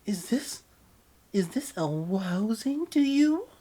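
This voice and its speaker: Squeaky, cutesy baby-talking adult lover. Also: male voice, very animated, takes it slowly, mellow, breathy edge, heavily acted. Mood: coy, teasing. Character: the lover turned babyish, whining, cutesy, apologetic adult partner.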